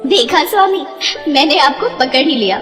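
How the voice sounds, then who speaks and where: A woman's voice: sits high, expressive, normal speed, big-room sound, music in the background. A woman voicing a playful, teasing goddess and devoted wife in a devotional story, speaking sweetly and formally. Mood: teasing, triumphant. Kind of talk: animated devotional story dialogue.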